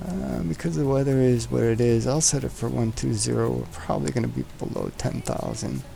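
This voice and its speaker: A man's voice: mid-range voice, fairly quick, creaky, dark tone, faint music behind. A man hosting a flight simulator session, chatting casually, joking and talking to the aircraft as he sets it up. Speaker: flight sim streamer host. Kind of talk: casual chat while flying a simulator.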